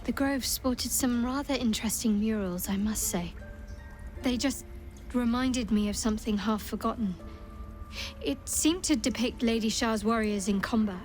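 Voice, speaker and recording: A woman's voice: on the high side, darkish tone, soft background music, close to an everyday voice. Guarded young cleric woman played by an actress. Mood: wry, curious. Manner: measured, reserved, faintly wry. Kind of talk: video game dialogue.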